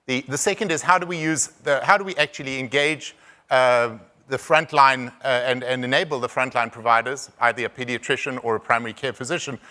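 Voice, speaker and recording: A man with a South African accent giving a conference presentation, halting, with frequent restarts and repeated words. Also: medium pitch, bright, forceful tone. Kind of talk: conference talk.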